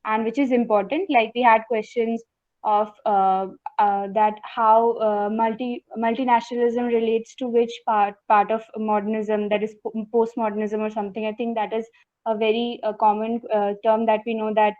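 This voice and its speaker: A woman's voice: fairly high pitch, little pitch movement, normal speed, no echo. A young woman answering interview questions over a call, hesitant with many fillers and polite to the interviewer. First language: not Hindi. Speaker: interview guest, successful exam candidate. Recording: dry tinny phone-like line.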